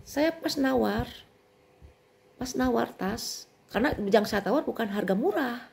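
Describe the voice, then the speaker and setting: Middle-aged woman vlogger, Sundanese accent, animated and indignant as she vents. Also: a little high, unhurried, vocal fry. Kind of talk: venting monologue.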